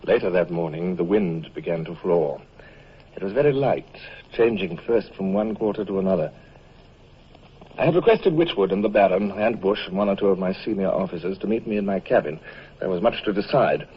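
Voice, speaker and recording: An actor playing a dry, resolute British naval commodore in an old-time radio drama, formal, clipped and authoritative. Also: male voice, low voice, expressive, fairly quick, slightly rough, lo-fi sound. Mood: reflective narration. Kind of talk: old-time radio drama acting and first-person narration.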